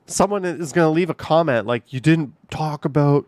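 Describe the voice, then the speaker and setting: A man's voice: on the high side, moderate pace, darkish tone, no room sound. A man hosting a casual livestream from home, chatting loosely with his viewers in a rambling, easygoing way. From Canada. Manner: rambling, chatty, laughs easily. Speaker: livestream host reading chat.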